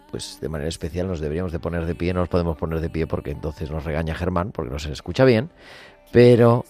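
A man's voice: low voice, a little mellow. A man hosting a live radio interview in a studio, welcoming his guests in a jovial, joking way. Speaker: radio host.

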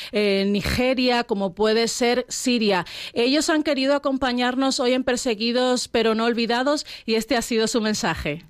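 Female radio presenter, measured delivery, a bit high-pitched. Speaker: radio host. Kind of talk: radio interview and program links.

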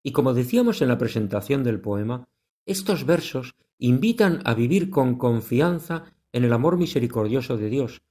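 A man hosting a religious radio program, introducing segments in a measured, devout manner. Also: mid-range voice, sing-song, mellow. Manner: measured, devout announcer delivery.

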